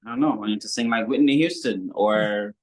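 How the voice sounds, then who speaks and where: A young man from Kenya, a musician guest, talking in a relaxed, rambling way with many fillers. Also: fairly low pitch, a little fast. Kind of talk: casual interview chat.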